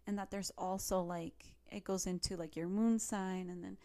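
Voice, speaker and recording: Casual woman podcaster with medium-pitched voice. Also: steady tone, normal speed, darkish tone. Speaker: podcast co-host. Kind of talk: casual chat.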